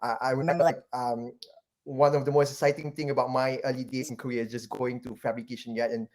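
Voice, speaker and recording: A man's voice: medium pitch, fairly even intonation, fast, a little mellow. A man in his thirties from Malaysia tells his career story in a loose, rambling way. He restarts sentences and leans on fillers as he thinks aloud. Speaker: guest, venture capital partner. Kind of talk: casual interview, life story.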